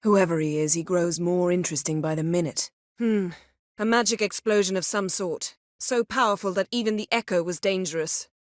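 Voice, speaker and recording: A woman voicing a haughty, sharp-witted sorceress in a video game, with an imperious, sardonic manner and clipped, articulate delivery. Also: on the low side, normal speed, no room sound, studio quality, natural voice. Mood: composed, serious. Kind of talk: video game character voice acting.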